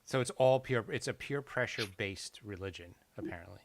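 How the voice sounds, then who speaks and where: A man's voice: on the low side, a little fast, vocal fry, a little hiss or hum. A man in his thirties chatting casually, asking blunt, skeptical questions. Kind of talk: casual chat.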